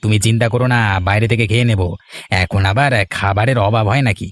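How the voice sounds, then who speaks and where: A man's voice: fairly low pitch, fairly even intonation, fast, no echo. A man voicing a village fisherman in a cartoon, talking in an easygoing way to his wife and a friend. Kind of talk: cartoon dialogue.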